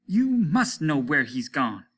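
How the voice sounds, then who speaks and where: A man's voice: on the high side, big pitch swings, takes it slowly, a bit bright, pitched above the performer's own voice, heavily acted. A man voicing a desperate, haggard spaceship captain in a story reading, insistent and demanding. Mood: weakly desperate. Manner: insistent, demanding, repeats himself urgently. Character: desperate, haggard, obsessive male spaceship captain, gun-wielding bully.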